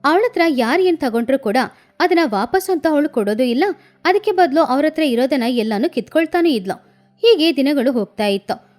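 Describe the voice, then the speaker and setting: A woman's voice: high voice, animated, brisk, darkish tone. A woman narrating a scripted children's moral story as a storyteller. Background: music.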